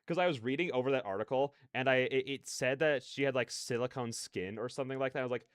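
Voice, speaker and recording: A man's voice: medium pitch, fast, clear, resonant tone, dry recording, studio quality. A man hosting a livestream, chatting casually in a rambling, slangy, digressive way. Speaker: livestreamer hosting a reaction stream.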